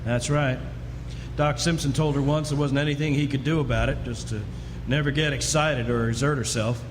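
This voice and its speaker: A man's voice: medium pitch, steady tone, moderate pace, natural voice. An actor playing a stern, plain-spoken frontier father in a live Western radio drama, terse and matter-of-fact. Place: live stage performance indoors. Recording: noisy live stage, reverberant hall.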